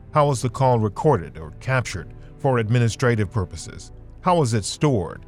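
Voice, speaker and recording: Man narrating news over music. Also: mid-range voice. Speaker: podcast host.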